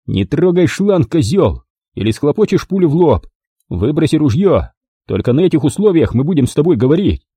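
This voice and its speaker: A man's voice: mid-range voice, big pitch swings, normal speed, warm tone, slightly rough, no room sound. A man voicing a stern, sardonic fighter giving wry commands in an audiobook story. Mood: stern ultimatum.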